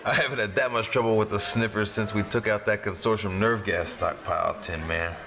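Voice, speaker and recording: An actor playing a wry, beleaguered resistance hacker in a video game cutscene, complaining in slang. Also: male voice, lowish voice, fairly even intonation, a little fast, a crowd in the background, lightly acted. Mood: relieved, wry. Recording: noisy game cutscene audio.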